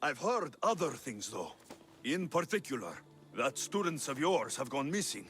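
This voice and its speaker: An actor playing a cocky, confident Greek mercenary hero in a video game, dry and taunting. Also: male voice, medium pitch, sing-song, normal speed, a bit bright, slight background noise. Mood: probing.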